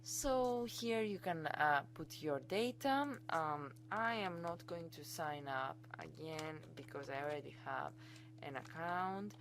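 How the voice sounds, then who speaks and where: A woman with a Greek accent and a notably low voice walks students through software in a casual screencast. She sounds keen and informal, teaching through a computer mic. A faint hum sits under her voice. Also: animated, takes it slowly, creaky. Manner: enthusiastic, chatty and informal. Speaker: college instructor.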